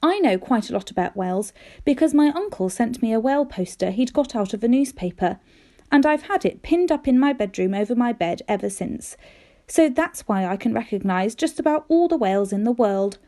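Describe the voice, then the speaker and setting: Female, storybook reader for children. High, lively pitch, soft-edged mellow tone, expressive delivery. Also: a little fast. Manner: animated, careful storytelling with dramatic emphasis. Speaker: teacher reading aloud to pupils.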